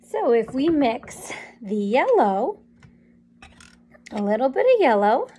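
A woman in her thirties leads a children's story time in a high, very lively voice. She takes her time, with a sing-song, encouraging delivery that pauses around questions for little listeners. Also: breathy edge. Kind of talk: animated picture-book read-aloud for young children. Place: small indoor classroom or playroom.

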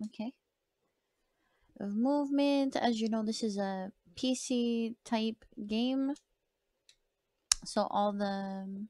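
Woman gamer, soft voice, fairly high, slow unhurried chat, faint hiss behind. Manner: chatty, reads game text aloud in her own voice. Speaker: gaming streamer. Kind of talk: casual let's-play commentary.

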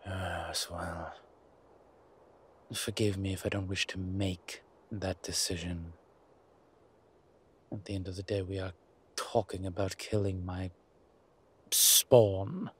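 Over clear background ambience comes a man acting as a centuries-old male vampire in an audio roleplay. He is suave, wry and protective, with a lowish voice. He talks very slowly, leaving theatrical pauses as he addresses his companion. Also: fairly even intonation, a little hiss or hum. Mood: grave, reluctant. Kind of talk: scripted roleplay audio drama addressed to the listener.

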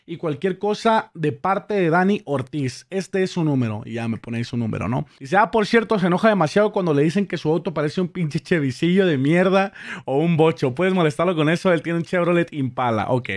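A young man with a Mexican accent and a notably high voice hosts a prank phone call. He talks casually in slang and puts on a polite customer-service manner, close to a studio mic. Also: animated, a little fast. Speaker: prank-call host posing as an insurance executive. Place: small indoor streaming room. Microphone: studio microphone on boom arm, close.

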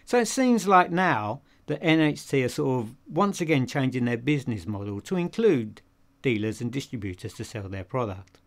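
A man's voice: mid-range voice, big pitch swings, moderate pace. An older man with a British accent giving a casual product review to camera in a conversational, emphatic way, posing questions and answering them himself. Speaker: audio dealer and video reviewer. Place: small home office room, indoors.

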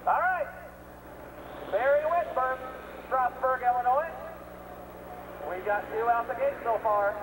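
Man, tractor pull announcer, high-pitched voice, booming PA echo from far off. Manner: drawn-out PA calls of names and distances. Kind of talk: live event announcing over PA. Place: outdoor fairground track. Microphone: public address system recorded by camcorder. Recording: echoey PA heard from afar, loud outdoor noise. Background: engines and crowd ambience.

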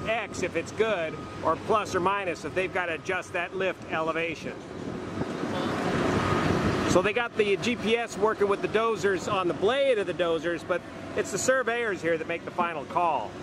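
A man with a high, light voice chats his way around an outdoor construction site, curious and talkative, thinking aloud with plenty of fillers. Machinery and site noise stay clearly audible behind him on the handheld camera audio. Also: normal speed. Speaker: vlog host touring a construction site. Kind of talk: casual on-site questions and commentary.

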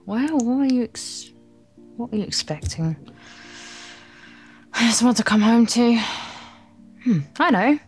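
A woman's voice: fairly high pitch, expressive, slow, long pauses, darkish tone, slightly rough. A woman reading visual novel lines aloud in a casual let's play, with sarcastic, irreverent asides. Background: game music.

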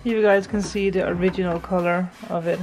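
A woman's voice: lowish voice, steady tone, fairly quick, music in the background. A woman chatting casually in a home renovation vlog, thinking aloud as she fits drawer knobs indoors. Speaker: vlogger. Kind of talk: casual DIY vlog talk.

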